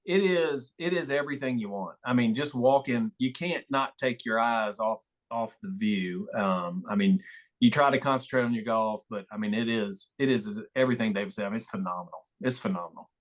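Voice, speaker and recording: A man's voice: on the low side, a little fast. A man chatting casually and telling stories as a guest on a podcast. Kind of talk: casual chat, storytelling.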